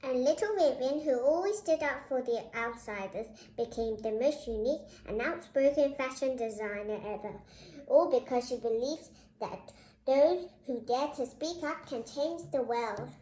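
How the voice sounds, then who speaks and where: A high, young child's voice reads a storybook aloud as host of the reading. The delivery is presenterly and speaks straight to viewers. The sound is echoey, as in a reverberant room. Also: animated, normal speed, warm tone. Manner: presenterly, addresses viewers directly. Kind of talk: read-aloud storybook narration with brief asides. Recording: roomy, echoing indoor sound.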